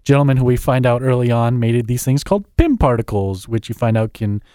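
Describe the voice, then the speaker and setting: Critic guest: a man of middle pitch, wry and deadpan, quick asides, bone-dry studio mic. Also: dry recording. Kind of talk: casual chat, plot summary.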